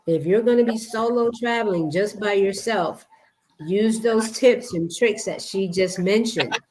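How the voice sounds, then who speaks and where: A woman's voice: medium pitch, measured pace, a little mellow, low-quality recording. A woman hosting a casual podcast conversation, encouraging and emphatic, who repeats phrases for effect. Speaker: podcast host.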